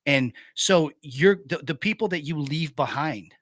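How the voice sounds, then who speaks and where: Middle-aged guy on talk radio, blunt and earnest, bright edgy voice, cusses a lot. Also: on the high side, expressive, measured pace, no echo, clean studio sound. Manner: blunt, earnest, swears freely. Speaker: radio show guest, veteran. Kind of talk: candid conversation.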